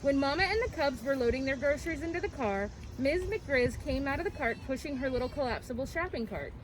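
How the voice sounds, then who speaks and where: High-voiced woman reading a children's story. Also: lively intonation, brisk. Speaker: children's read-aloud storyteller. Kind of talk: expressive picture-book reading. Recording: clearly noisy, with background ambience.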